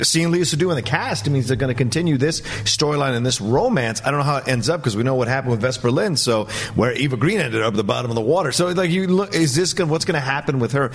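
A man giving conversational film commentary as a talk show panelist, emphatic and opinionated as he rambles through his thoughts. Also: medium pitch.

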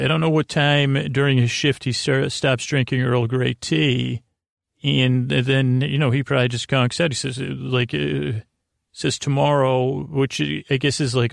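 A man hosts a sleep podcast in a creaky voice, recorded close and dry. He rambles in a lulling way, halting, repeating himself and letting thoughts trail off. The whole thing is an unhurried, wandering bedtime monologue. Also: mid-range voice, dry recording. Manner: lulling, soothing.

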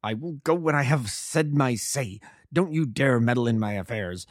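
A man's voice: mid-range voice, lively intonation, moderate pace, no echo, studio quality, natural voice. A man voicing a furious, menacing old doctor, a huge bully who snarls and blusters his demands in an audiobook story. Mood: threatening.

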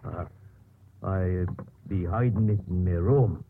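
Halting simpleton, noisy old radio. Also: male voice, low-pitched. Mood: halting, aggrieved. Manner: halting, speaks of himself in third person. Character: simple, devoted rustic English handyman. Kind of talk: 1950s radio drama acting. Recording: noisy muffled 1950s radio recording.

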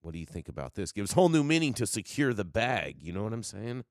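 Deep-voiced sarcastic male host. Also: very animated, fast talker, a little mellow, no echo, clean studio sound. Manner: sarcastic, stumbles and restarts. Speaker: news show host. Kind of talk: casual news chat.

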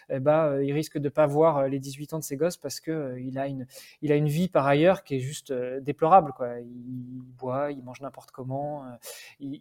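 French guy in his 40s, hesitant, lots of uh and restarts, dark mellow tone. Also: a little high. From France. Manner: hesitant, many fillers and restarts. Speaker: guest. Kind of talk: casual interview talk.

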